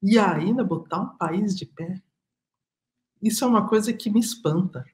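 A woman's voice: mid-range voice, moderate pace, darkish tone. Reflective middle-aged woman on video call. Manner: reflective, emphatic, repeats key phrases. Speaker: artist. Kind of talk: panel discussion over video call. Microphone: video call webcam.

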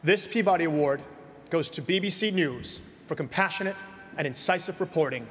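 A man's voice: fairly high pitch, fairly quick, fairly bright tone. Man announcing an award from a podium. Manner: formal, announcing. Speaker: ceremony host. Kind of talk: presenting an award. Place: large awards ceremony hall. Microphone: podium microphone. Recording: long hall reverb, faint noise.

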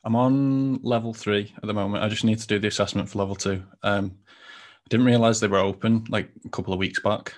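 A young man from the United Kingdom hosting a podcast, chatting in a relaxed way with lots of fillers over a headset mic. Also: on the low side, a little fast. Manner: relaxed, filler-heavy, says "like" a lot. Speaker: podcast host. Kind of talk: casual video-call chat. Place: home room indoors.

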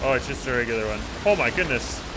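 A man chatting casually while playing a co-op game, excitable and jokey, often exclaiming. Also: mid-range voice, fast talker. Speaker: gaming video host and player. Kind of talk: casual chat during co-op game play. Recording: loud game noise and clicks behind. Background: pirate video game sounds.

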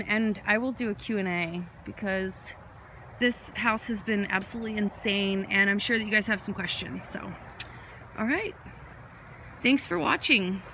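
A young woman, chatting excitedly as she films a walkthrough of a house she is renovating, speaking into her phone. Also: fairly high pitch, a little hiss or hum. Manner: chatty, excitable, jumps between topics. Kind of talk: casual walkthrough vlog. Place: empty house and yard, indoors and outdoors. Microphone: phone held while filming.

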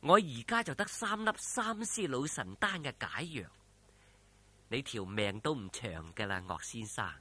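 A man playing a composed, sharp-witted young woman. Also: mid-range voice, animated, unhurried, husky, voice pushed down, exaggerated voice. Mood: cool. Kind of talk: Cantonese audio storytelling.

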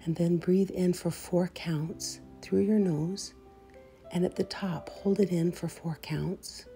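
A woman leading a guided meditation in a soft, soothing, unhurried voice that turns breathy at the ends of phrases. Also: lowish voice, steady tone. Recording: meditation music behind voice. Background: soft meditation music.

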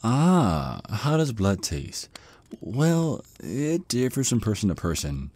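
A man's voice: medium pitch, big pitch swings, slow pace, darkish tone, husky, exaggerated voice. A man playing a shy, nervous male vampire in an ASMR roleplay, stammering and hesitating apologetically as he talks. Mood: nervous, awkward. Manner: stammering, hesitant, flustered, rambling. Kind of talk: ASMR roleplay monologue, conversational.

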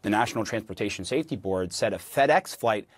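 A man's voice: on the low side, lively intonation, fast, no echo. An American man in his thirties anchoring a live news interview from a TV studio on a lapel mic.

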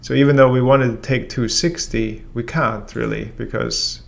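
Gravelly-voiced man explaining software. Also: medium pitch, moderate pace. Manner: relaxed, explains step by step with many ums. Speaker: software trainer. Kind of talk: casual screen-share tutorial. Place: room with a brick wall and whiteboard, then voice-over of screen recording.

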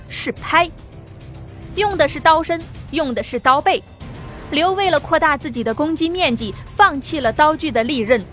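A woman reading a science fiction novel aloud as an audiobook narrator, in a steady, vivid storytelling manner. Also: high voice.

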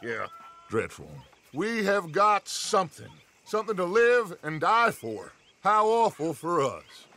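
An actor playing a charismatic, grandiose middle-aged outlaw boss in a western game, with an American accent and an oratorical, deliberate delivery. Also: male voice, sits high, big pitch swings, pushed and bright, heavily acted. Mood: mocking. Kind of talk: dramatic western game acting.

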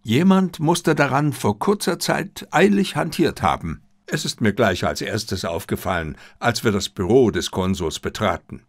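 An unruffled male detective , as a man reads him in an audiobook. He explains with playful self-assurance, his pitch moving freely over a gravelly, creaky base. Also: expressive, moderate pace, few pauses. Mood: assured. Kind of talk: audiobook character dialogue.

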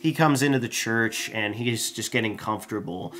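Animated man co-hosting a comics podcast. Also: fairly low pitch, normal speed, noisy background. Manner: animated, restarts sentences often. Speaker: podcast co-host. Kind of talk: casual comic book discussion. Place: small room full of collectibles. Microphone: studio mic on desk stand.

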